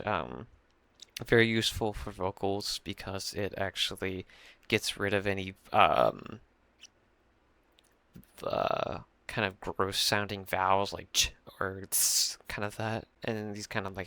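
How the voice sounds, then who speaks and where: A young man with a low voice, rambling through a casual mixing tutorial at his computer, full of fillers and restarts. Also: pushed and bright, clear, resonant tone, dry recording. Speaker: music producer explaining a mix. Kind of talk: casual screen-share tutorial. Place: small room at a computer.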